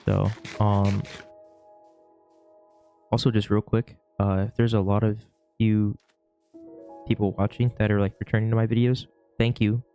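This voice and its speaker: A sick young man with a worn, gravelly voice, rambling casually through a vlog with lots of fillers and restarts. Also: on the low side, level tone, measured pace, pauses often, dark tone, soft background music. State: sick, losing his voice. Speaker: vlogger; shift manager at work. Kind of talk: casual talking-to-camera vlog.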